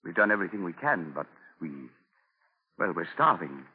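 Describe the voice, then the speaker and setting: An actor playing a weary, courteous colonial governor, speaking formally in 1940s radio drama style. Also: male voice, low voice, very animated, moderate pace, husky, exaggerated voice. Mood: weary, grateful. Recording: tinny 1940 radio recording.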